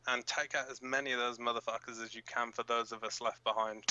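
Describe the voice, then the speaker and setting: An actor playing a brash, hot-headed resistance fighter co-hosting an underground broadcast in a radio drama, talking bluntly and informally with impatience. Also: male voice, medium pitch, little pitch movement, fast, bright, forceful tone, highs cut off. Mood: exasperated, angry.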